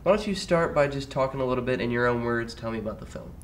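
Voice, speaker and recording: A man with a medium-pitched voice conducts a casual festival interview. His delivery is conversational. Faint rumbly noise runs behind him. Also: quick pace.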